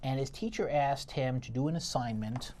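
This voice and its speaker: A man's voice: mid-range voice, normal speed, darkish tone, a little hiss or hum. A middle-aged man, casually discussing and arguing a review as a critic and co-host, in an insistent manner. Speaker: theatre critic, show co-host.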